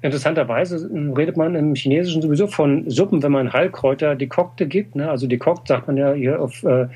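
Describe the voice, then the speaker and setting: A man's voice: on the high side, fast, dark tone, slightly rough. A middle-aged man in an interview, explaining Chinese medicine with many fillers and tag questions. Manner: explanatory, frequent "äh" and "ne?". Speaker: TCM practitioner. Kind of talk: conversational interview.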